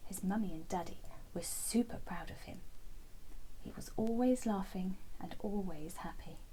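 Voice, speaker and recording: Woman, children's storyteller, mellow relaxed tone, gentle expressive narration, soft breathy endings, faint-to-clear background noise. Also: medium pitch, moderate pace, rough recording. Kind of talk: scripted bedtime story narration.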